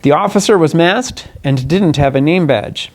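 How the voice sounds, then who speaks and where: Male political candidate, slightly high voice, earnest, deliberate, halting delivery, press statement partly read aloud. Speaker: congressional candidate.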